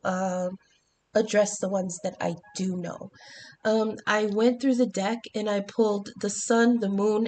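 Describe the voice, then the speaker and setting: A woman's voice: mid-range voice, darkish tone, slight background noise. A woman with a congested voice giving a chatty tarot and astrology reading, full of ums and restarts, cursing now and then. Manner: swears casually. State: congested from the flu. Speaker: tarot reader.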